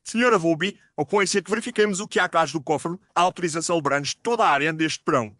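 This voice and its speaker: A man's voice: on the high side, fast talker, a bit bright, no echo, clean studio sound, close to an everyday voice. A man playing a formal, firm Victorian police inspector with an official, declamatory manner in an audio story. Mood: firm, polite.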